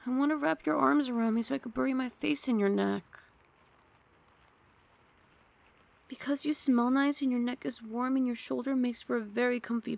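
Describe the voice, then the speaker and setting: A woman's voice: fairly high pitch, gravelly. A woman playing a grumpy but affectionate young female roommate in an intimate ASMR roleplay, sardonic and huffy, speaking close to the mic. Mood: cozy, affectionate. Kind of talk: ASMR roleplay, intimate one-sided dialogue. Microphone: close studio mic, dry.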